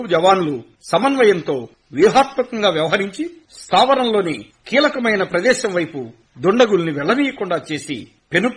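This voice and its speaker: A man narrating a radio play in a measured, commemorative tone. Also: sits high, fairly bright tone, breathy edge. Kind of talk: scripted radio drama narration.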